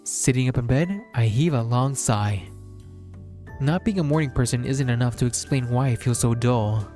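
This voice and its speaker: Mellow man reading a visual novel aloud. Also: medium pitch, normal speed, soft background music.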